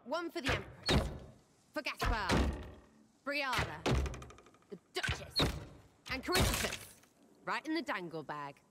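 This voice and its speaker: A woman's voice: on the high side, very animated, slow, pauses often, heavy background noise. Brash, cheeky young rogue girl. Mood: gleeful, aggressive. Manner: slangy, blunt, playful. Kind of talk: video game character dialogue.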